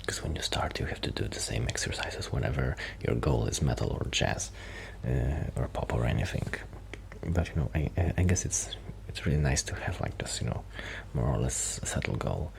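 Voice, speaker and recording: Male performer as quiet, bashful musician friend for ASMR roleplay: hushed, hesitant, very deep with vocal fry. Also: moderate pace. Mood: thoughtful, rambling. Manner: hesitant, rambling, self-interrupting. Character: shy, quiet young male musician friend who rambles about music. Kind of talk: soft-spoken ASMR roleplay with whispering.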